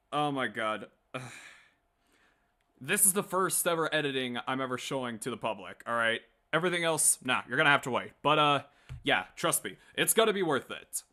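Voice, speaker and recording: An animated man hosting a casual livestream, talking in a bright, forward voice with plenty of swing in pitch. He rambles to his viewers in a joking, sweary way. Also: moderate pace. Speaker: livestream host and comic dub creator.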